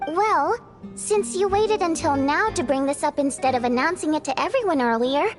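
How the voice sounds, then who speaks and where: A woman acting an excitable, chatty young woman in English video game dialogue. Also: high-pitched, moderate pace, music in the background. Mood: thoughtful, earnest. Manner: animated, talkative, exclamatory.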